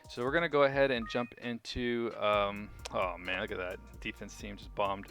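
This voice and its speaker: A man with a fairly low voice talks over music in an informal gaming commentary. He rambles a little, thinking aloud as he hosts the video. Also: fairly even intonation, moderate pace. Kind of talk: casual gameplay commentary. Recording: music behind the voice.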